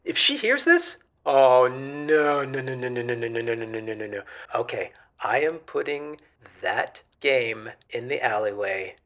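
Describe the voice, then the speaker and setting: A man's voice: mid-range voice, unhurried. An actor playing an anxious, lovestruck male gamer who calls a radio show and grows panicky. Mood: panicked. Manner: excitable, panicky. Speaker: caller. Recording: muffled phone-line caller sound.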